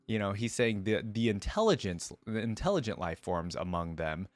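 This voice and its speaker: A man's voice: lowish voice, lively intonation, normal speed, no room sound. A man giving reflective reaction commentary and reading game lore aloud in a casual style.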